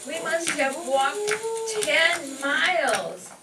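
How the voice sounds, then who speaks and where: A gushing, plaintive teenage daughter from a radio comedy, played by a woman. Her voice is very high and swoopy. It sounds far from the mic in an echoey room. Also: animated, drawn-out words, very noisy, heavily acted. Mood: whiny. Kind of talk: old-time radio comedy acting.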